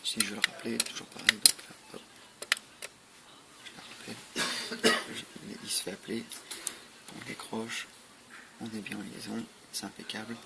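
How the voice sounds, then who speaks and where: A man's voice: lowish voice, little pitch movement, normal speed, gravelly, long pauses. A man giving an informal, matter-of-fact, step-by-step product demonstration. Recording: noisy amateur recording with clicks.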